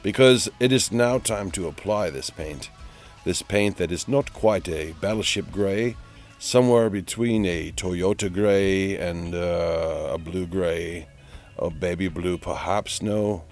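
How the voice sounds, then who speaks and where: A man's voice: low voice, soft background music. A man playing a pompous, easily exasperated narrator who mocks a painter in a theatrical, mock-dramatic DIY voice-over. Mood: weary, sardonic. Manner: theatrical, pompous, drawn-out repetition.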